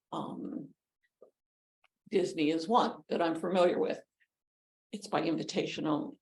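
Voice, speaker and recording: A middle-aged woman with a gravelly, slightly low voice talks conversationally on a panel. She repeats phrases for emphasis, sounding like a parent explaining from experience. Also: a little mellow.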